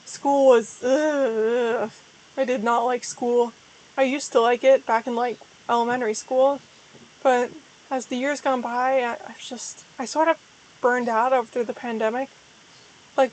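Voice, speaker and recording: A young woman with a hoarse, tired voice, chatting casually and rambling as a streamer answering viewer questions. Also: fairly high pitch, fairly even intonation, a little slow, poor audio. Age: young adult. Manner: rambling, self-deprecating, frequent restarts. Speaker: VTuber streamer. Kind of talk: casual livestream chat.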